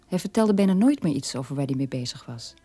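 A woman's voice: low-pitched, lively intonation, quick pace, dark tone, breathy edge, no room sound, soft background music. An actress playing a troubled middle-aged mother telling her family's story in a radio play monologue. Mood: reflective, troubled.

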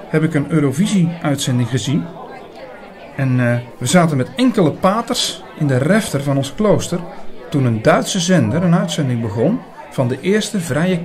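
A man playing an earnest older priest who narrates a radio drama in a measured way, with dramatic pauses. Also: a little high. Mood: earnest, moved. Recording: busy chatter behind the voice. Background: busy restaurant crowd.

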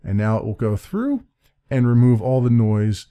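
A man with a deep voice giving a casual screen-recorded software tutorial at his computer desk, rambling, correcting himself and laughing a little. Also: sing-song, normal speed, clear, resonant tone. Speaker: let's play creator explaining his audio setup. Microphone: desk-mounted condenser mic with pop filter.

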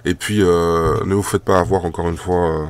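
A man's voice: low voice, steady tone, moderate pace, a little hiss or hum. A man with a cold talking casually while handling trading cards at a table, rambling with many fillers and repeated words. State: has a cold. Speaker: card collector and shop owner.

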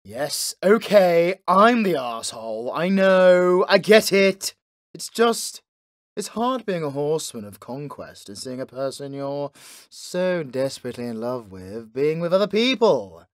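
A man's voice: fairly high pitch, sing-song, measured pace, no echo, studio quality. A man playing a snarky, dramatic, lovesick horseman of conquest in a comedy roleplay, bitter, whiny and theatrical. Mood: defensive, hurt. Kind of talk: boyfriend ASMR comedy roleplay.

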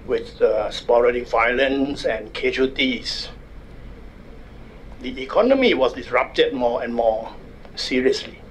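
An older man with a Thai accent, speaking at a desk microphone as a guest speaker at a university public forum, wry and measured with self-deprecating humor. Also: medium pitch, a little hiss or hum, rough recording. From Thailand. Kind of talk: public lecture. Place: university conference room.

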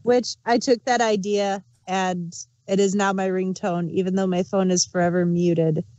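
A woman's voice: mid-range voice, steady tone, normal speed, dark tone, no echo, highs cut off. A woman speaking as a panelist in a casual review discussion.

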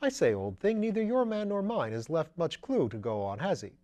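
A breezy, witty gentleman sleuth from a mystery storybook, read out by a man. He muses aloud in upper-class slang, firing off questions and exclamations. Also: a little high, very animated, normal speed, voice pushed up. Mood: animated, puzzled. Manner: drawling clipped slang, exclamatory, musing.

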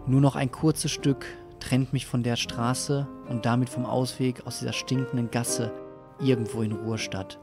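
A man narrates an audio drama in the first person, reading from a script. His mid-pitched voice sits under clearly audible music. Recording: loud music over the voice. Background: loud music.

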